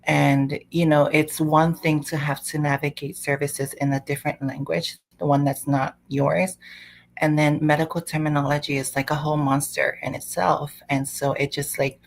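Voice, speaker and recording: Low gravelly woman. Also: flat delivery, moderate pace. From Samoa. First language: Samoan. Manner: thoughtful, rambling, many "like" fillers. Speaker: panelist. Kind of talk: panel discussion.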